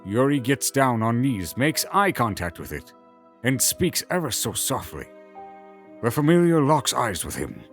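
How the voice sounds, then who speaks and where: A man's voice: medium pitch, big pitch swings, unhurried, faint music behind. A man narrating a scripted fantasy audio drama in the present tense.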